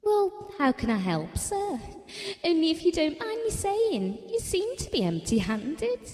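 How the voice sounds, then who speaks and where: An actress playing a chatty, down-to-earth working-class wife in an audio drama, speaking in a colloquial, confiding way. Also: female voice, very high-pitched, big pitch swings, a little slow, a little mellow, long reverb tail, soft background music, full character voice. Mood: bright, cheeky.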